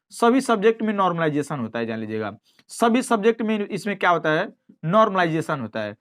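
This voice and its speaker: Emphatic, repetitive exam-prep teacher. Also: mid-range voice. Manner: repetitive, emphatic, with recurring assuring tag phrases. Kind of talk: informal explainer on exam cut-offs.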